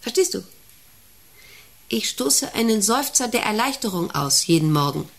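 Creaky-voiced, domineering woman boss. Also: medium pitch, big pitch swings, normal speed. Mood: brooding, rapt. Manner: rambling, commanding, intrusive questioning. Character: domineering, eccentric female factory director, needling an employee. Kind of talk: German radio play acting.

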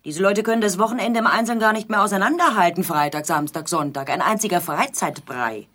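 An actress playing an aggrieved, nagging newlywed bride in an absurdist radio play, theatrical and pointed, with a husky voice. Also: female voice, mid-range voice, fast, fairly bright tone, natural voice. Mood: scornful, aggrieved. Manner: theatrical, pointed, sardonic repetition.

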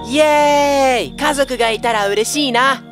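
A woman voicing an excitable little boy with a childlike, eager manner in a storybook cartoon. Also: high voice, unhurried, soft background music. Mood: excited.

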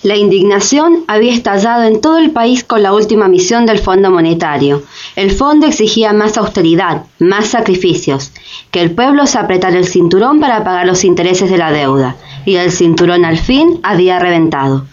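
A woman's voice: medium pitch, animated, moderate pace, a little mellow, lightly acted. A woman giving dramatised narration in a radio play. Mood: serious. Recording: slightly dull, muffled recording.

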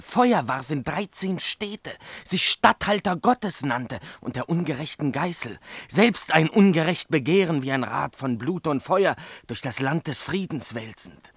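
An actor playing a grave, deferential subject reporting to his emperor in declamatory verse, in a theatrical radio drama with a husky voice. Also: mid-range voice, fairly bright tone, no room sound, full character voice.

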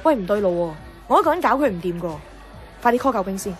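A woman's voice: medium pitch, very animated, quick pace, soft background music, some chatter behind, natural voice. An actress playing an outspoken, exasperated young career woman in a Cantonese radio drama, blunt and scolding, with a Hong Kong accent. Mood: worried, exasperated. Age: young adult.

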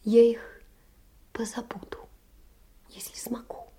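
Frail poor young woman, very dark soft tone, slightly high pitch, earnest, plaintive, literary audio play. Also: flat delivery, slow, husky, whispered, heavily acted. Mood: wistful. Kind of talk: Russian stage drama, literary monologue.

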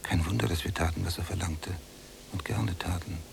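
A gravelly, low, brooding prisoner. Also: male voice, fairly even intonation, normal speed, noisy background. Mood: brooding, hushed. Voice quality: gravelly, often hushed. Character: brooding, resentful younger male prisoner, long confined. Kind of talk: literary radio play acting, monologue and dialogue.

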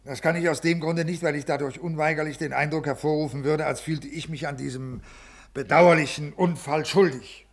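A male actor performs a rigid senior official in a 1950s German radio drama. His voice sits a little high for a man and has a gravelly creak. He speaks stiffly and formally, officious and always on the defensive. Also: fairly even intonation, a little fast, natural voice. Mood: cold, self-justifying.

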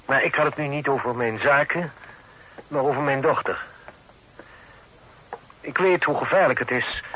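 Grave middle-aged father, played by a man, courteous and solemn, bright-toned voice, noisy vintage radio play. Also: mid-range voice, close to an everyday voice. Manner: formal, solemn, old-fashioned phrasing. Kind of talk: vintage Dutch radio drama acting.

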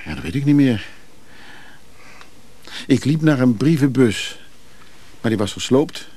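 Moody restless lover in a radio play, middle pitch, bit husky, halting and brooding. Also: male voice, lively intonation, mellow. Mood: haunted, brooding. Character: restless moody male lover haunted by dreams. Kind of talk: intimate radio drama acting.

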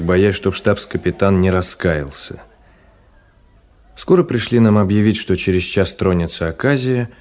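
An actor playing a reserved, polite gentleman traveller who narrates and speaks in a literary radio play, measured and reflective. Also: on the low side, natural voice. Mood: measured narration. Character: reserved, courteous gentleman traveller telling his story. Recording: noisy old radio drama recording.

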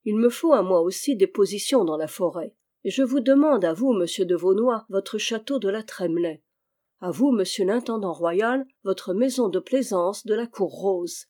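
A reader voicing a composed male army captain who speaks in a measured, cool way, in an audiobook reading. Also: mid-range voice, lively intonation, dark tone, lightly acted. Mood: calm.

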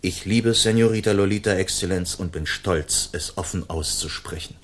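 A man's voice: deep voice, normal speed, a bit bright. A man acting a proud, gallant swordsman outlaw in a radio play, speaking formally and commandingly with defiant threat. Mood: proud, earnest.

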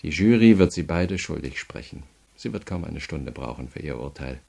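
Radio crime drama: relentless prosecutor, deep creaky voice, measured and coldly courteous, never letting the prisoner off. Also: male voice, animated, dark tone, exaggerated voice. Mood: cold, menacing. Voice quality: gravelly. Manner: measured, methodical, coldly polite. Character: cool, relentless male state prosecutor pressing a prisoner.

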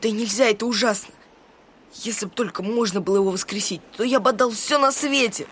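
A woman playing an earnest, upset schoolboy who talks in a hurried, heartfelt way in an audio drama. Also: on the high side, fairly bright tone, a little hiss or hum, close to an everyday voice. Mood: distressed.

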